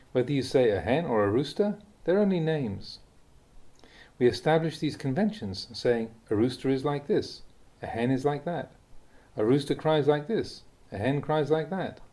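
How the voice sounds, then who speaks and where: A man reading Buddhist teachings aloud as a scripted narration, in deliberate, short phrases. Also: mid-range voice, mellow. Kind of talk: scripted audiobook reading of a Dhamma talk.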